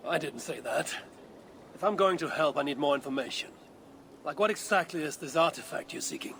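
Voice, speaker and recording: An actor playing a blunt, distrustful Viking warrior in a video game, speaking in a curt, sardonic, challenging way. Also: male voice, fairly high pitch, lively intonation, fairly quick, vocal fry, a bit bright, slight background noise. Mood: irritated, challenging. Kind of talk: acted game cutscene dialogue.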